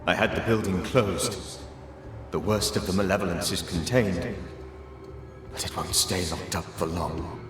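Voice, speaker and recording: A man's voice: low voice, steady tone, a little slow, background noise. A man playing a grave, sorrowful ghost of a banisher mentor in a video game cutscene, speaking with solemn, old-fashioned formality. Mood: warning. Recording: reverberant ghostly echo, music behind.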